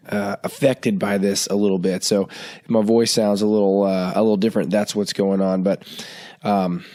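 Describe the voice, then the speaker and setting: A man's voice: fairly low pitch, a little mellow. Chatty man with a head cold. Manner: chatty, loose, many fillers and restarts. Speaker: podcast host. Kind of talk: casual podcast talk.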